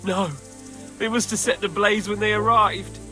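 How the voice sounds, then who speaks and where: A high, sharp male voice plays a bitter, shaken survivor in a video game. He speaks haltingly. Music sits behind him. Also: fairly even intonation. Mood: distraught. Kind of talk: video game dialogue acting.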